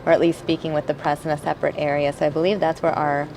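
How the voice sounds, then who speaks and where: A woman's voice: low-pitched, flat delivery, fast talker, background noise. A woman anchoring live news coverage, filling time and guessing at what comes next with the odd "uh". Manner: speculative, filling time with hedges and "uh". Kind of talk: live news commentary over pictures.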